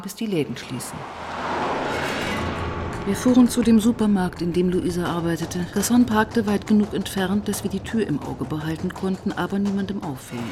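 An actress playing a composed, probing police detective who narrates and talks through the case in a measured, matter-of-fact way in a crime radio play. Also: female voice, medium pitch, background noise, close to an everyday voice. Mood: businesslike. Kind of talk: German crime radio play, dialogue and first-person narration.

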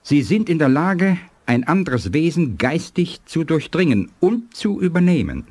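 Wise alien statesman, husky, grave and measured, with very lively intonation, for radio drama. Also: a little high, heavily acted. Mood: grave warning. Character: learned, solemn alien elder statesman, calm but ominous. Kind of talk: 1980s German radio play acting.

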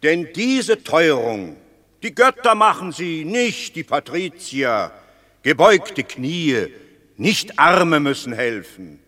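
An actor playing a wily, eloquent Roman patrician in a radio drama, theatrical and rhetorical, placating rebels with fables. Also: male voice, a little high, sing-song, exaggerated voice. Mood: declaiming, admonishing. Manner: theatrical, deliberate, rhetorical, swinging from hushed to declaiming. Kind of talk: classical German stage-style radio drama acting. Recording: long reverberant hall.

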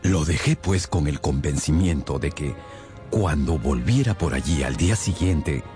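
Detective's sidekick narrating, really deep voice, animated storyteller, radio play with music under. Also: male voice, unhurried. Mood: storytelling. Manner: storytelling, literary phrasing. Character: Holmes's loyal male companion, narrating their case. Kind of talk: scripted radio drama narration.

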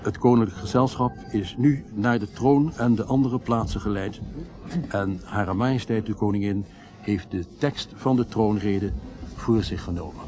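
An actor playing a formal radio reporter commentating live on a state ceremony. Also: male voice, on the low side, normal speed, close to an everyday voice. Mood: solemn, polished. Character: male radio reporter covering a royal ceremony, formal, later shaken.

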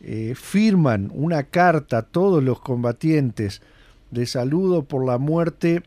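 A man's voice: fairly high pitch, lively intonation, warm tone, no echo. A man hosting a radio show, giving a conversational commentary with frequent fillers and restarts. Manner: conversational, halting, many fillers. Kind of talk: casual spoken commentary.

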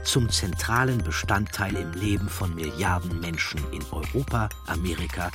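A man reading scripted documentary narration in a measured, deliberate way. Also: deep voice, music in the background.

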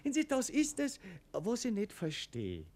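An actor with an Austrian accent playing a chatty, folksy prison warder in a German radio play. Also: male voice, very high voice, huge pitch swings, moderate pace, a little mellow, full character voice. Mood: puzzled. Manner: garrulous, folksy.

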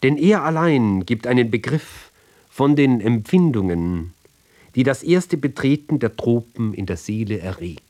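A man giving a measured literary recitation for radio, speaking deliberately in short phrases with pauses between them. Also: medium pitch, animated, a little mellow. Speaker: reader.

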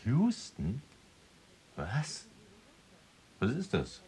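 An actor playing an obsessed, eccentric dreamer and inventor in a radio drama, theatrical, shifting between muttering and exclaiming. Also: male voice, medium pitch, huge pitch swings, drawn-out words, dark tone, slightly rough, full character voice. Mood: evasive, urgent. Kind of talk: German radio drama acting.

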